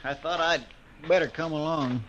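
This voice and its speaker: A man's voice: fairly high pitch, moderate pace, highs cut off, natural voice. A man playing a loyal, jumpy frontier deputy. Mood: anxious. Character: earnest young male deputy sidekick, loyal, jumpy. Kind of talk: 1950s radio acting. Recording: noisy old radio transcription.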